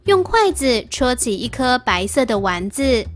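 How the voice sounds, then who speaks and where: 語調起伏很大的女聲朗讀. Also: female voice, on the high side, big pitch swings, unhurried, faint music behind. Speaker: quiz question reader. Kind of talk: slow expressive reading.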